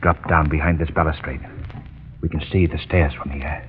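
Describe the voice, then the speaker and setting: An actor playing a calm, dry-witted gentleman detective in a 1930s radio drama. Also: a bass voice, moderate pace, phone-quality sound, slight background noise. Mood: composed. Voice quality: cool, dry, commanding. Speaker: amateur sleuth leading the others.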